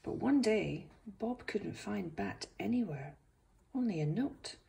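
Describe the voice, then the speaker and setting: Expressive woman reading a picture book, slow. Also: mid-range voice, creaky, slight background noise. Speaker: author reading her picture book aloud. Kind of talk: storybook read-aloud for young children.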